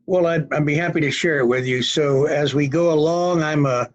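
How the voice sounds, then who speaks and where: Man in his seventies, slightly high voice, folksy, unhurried, wry storyteller, muffled lo-fi recording. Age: 70s. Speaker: cardiologist guest. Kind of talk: conversational storytelling interview.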